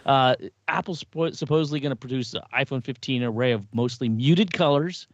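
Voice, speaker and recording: A man's voice: medium pitch, brisk, dry recording, highs cut off. A man co-hosting a podcast, chatting casually with wry, dry sarcasm. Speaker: podcast co-host. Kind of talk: casual chat.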